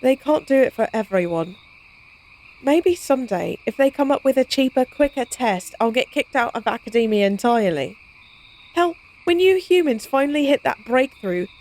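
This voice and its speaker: A woman's voice: fairly high pitch, darkish tone. A woman playing a playful, cocky, science-obsessed vampire in an intimate audio roleplay, speaking in a casual, teasing, wry way. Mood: wry, resigned.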